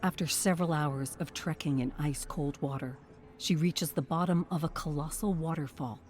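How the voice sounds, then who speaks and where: A narrator reading a scripted story in a dramatic, measured and suspenseful manner. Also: medium pitch, darkish tone, a little hiss or hum.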